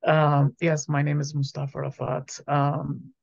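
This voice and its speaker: Man from Iraq, slightly high voice, polite, measured, dull dry recording. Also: monotone, gravelly, no echo. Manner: polite, measured, soft fillers. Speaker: interview guest, doctoral student. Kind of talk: interview answers. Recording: dry, muffled lo-fi mic.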